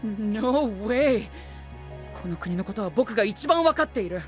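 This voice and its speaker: Pompous, high-voiced young gentleman knight. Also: lively intonation, a little slow, soft background music. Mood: defensive, indignant. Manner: pompous, formal, sprinkles English exclamations. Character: prim young gentleman knight, rigid, self-assured rule-maker. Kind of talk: anime-style voice drama acting.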